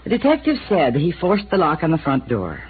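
An actress playing a guarded young widow in a 1950s radio drama, coolly defiant and evasive. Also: female voice, low voice, huge pitch swings, brisk, slightly rough, exaggerated voice. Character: cool, guarded young widow of a police chief. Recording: tinny old radio broadcast with faint hiss.

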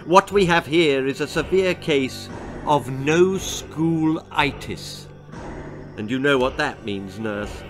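Man as a slow, sinister storybook doctor. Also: a little high, faint music behind. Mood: smug. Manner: measured, menacing, drawn-out. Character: gleefully sinister male doctor, calmly cruel.

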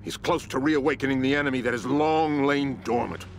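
A grim, wounded operative, played by a man, gives a dramatic game report over faint music. His voice is mid-pitched and weakened, the voice of a dying agent. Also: a little fast, close to an everyday voice. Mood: grim urgency.

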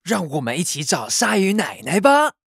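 A man voicing a kindly old grandpa shark in a children's cartoon, gently coaxing, with a Taiwanese Mandarin accent. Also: high voice, huge pitch swings, moderate pace, vocal fry, slight background noise, heavily acted. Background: children's music.

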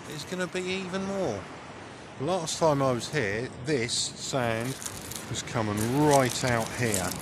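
A middle-aged man with a British accent talks casually to his camera at a medium pitch. His delivery is halting and thoughtful, as if thinking aloud while searching. It is a handheld outdoor recording with clear background noise. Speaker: vlogger. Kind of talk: casual off-the-cuff commentary while exploring. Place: outdoors on a beach below cliffs.

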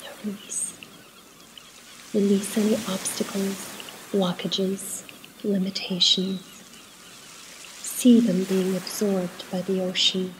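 A woman leading a guided meditation in a soft, at times breathy voice, soothing and unhurried, with pauses between short instructions. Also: medium pitch, flat delivery, a little hiss or hum, poor audio.

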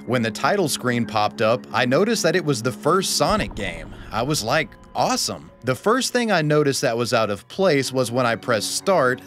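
A man's voice: medium pitch, a bit bright. Man dramatically reading a horror story. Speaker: guest narrator reading a story. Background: background music.

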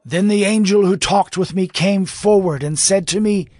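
A man's voice: high-pitched, normal speed, darkish tone, no echo, clean studio sound. A man playing an earnest, awed prophet recounting his visions dramatically in an audio Bible.

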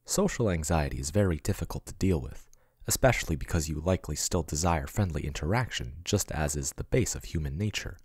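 A man in an ASMR boyfriend roleplay, voicing a male AI chatbot who talks softly and intimately, growing possessive. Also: a bass voice, expressive, brisk, slightly rough, no echo, studio quality, full character voice. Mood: gentle calm. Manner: soft, intimate, doting, turning possessive. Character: male AI chatbot, soothing then possessive yandere.

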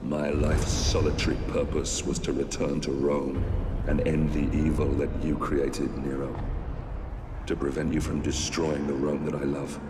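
An actor playing a vengeful Roman general, speaking in a solemn, measured, brooding tone. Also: male voice, rumbling low, monotone, roomy sound, long reverb tail, music in the background. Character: grim vengeful Roman general, middle-aged. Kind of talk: video game cutscene narration.

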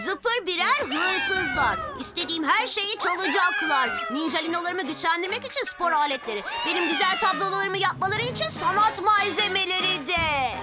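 A cocky, scheming boy ninja villain from a dubbed cartoon, played by a woman, boastful and gloating. His voice sits very high and swings widely in pitch. Loud cartoon music plays behind him throughout. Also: big pitch swings, moderate pace, hardly any pauses. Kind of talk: cartoon dubbing.

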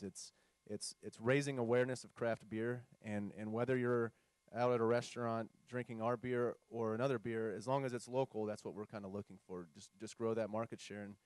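A man's voice: mid-range voice, darkish tone, no room sound. A man on a discussion panel, talking about brewing, with many fillers and repeated words. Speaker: brewery panelist.

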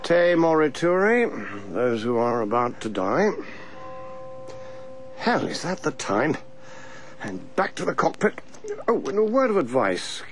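An actor playing an arch, pompous, scheming English political insider in a radio drama. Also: male voice, mid-range voice, very animated, measured pace, music in the background. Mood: arch, sly. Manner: pompous, sly, theatrical.

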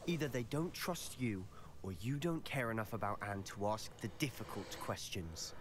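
A man's voice: on the low side, expressive, moderate pace, a bit bright. An actor voicing an intense, impatient young male wizard student in a video game, speaking in a clipped, urgent way. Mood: frustrated. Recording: game audio with background noise.

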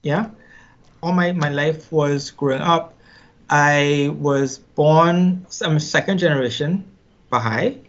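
A middle-aged man from Trinidad and Tobago with a Trinidadian accent, telling his story in a casual interview, unhurried and reflective, often repeating words as he thinks. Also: a little high, fairly bright tone. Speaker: musician guest. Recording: slightly dull lo-fi sound.

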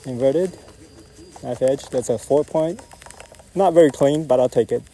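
A man flying a model jet outdoors, calmly calling out each maneuver in short phrases as he flies. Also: mid-range voice, a little slow, husky, poor audio. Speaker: RC jet pilot. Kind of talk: live flight commentary. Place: outdoors at an RC airfield.

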